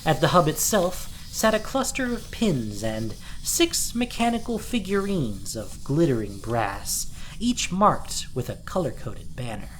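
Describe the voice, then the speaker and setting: A woman narrating a dramatic story from a script, expressive and deliberate in her telling. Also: low voice, a little hiss or hum, poor audio.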